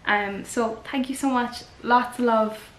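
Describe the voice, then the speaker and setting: A woman's voice: a little high, fairly even intonation, measured pace, a bit bright. A young woman vlogger giving earnest, rambling advice to the camera in a casual chat. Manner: chatty, earnest, rambling with fillers. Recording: echoey room.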